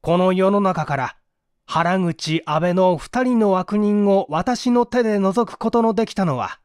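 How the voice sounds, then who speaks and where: A man narrating a mystery story as a first-person confession, in a measured, formal literary delivery. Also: sits high, a little mellow, clean tone, dry recording, studio quality. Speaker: audiobook narrator.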